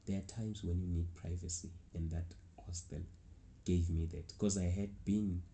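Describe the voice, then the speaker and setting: A man's voice: deep voice, fairly even intonation, moderate pace, warm tone, slight background noise. A young man from South Africa with a South African accent, telling a rambling travel story in a casual way. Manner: rambling, restarts often, chuckles. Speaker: travel vlogger.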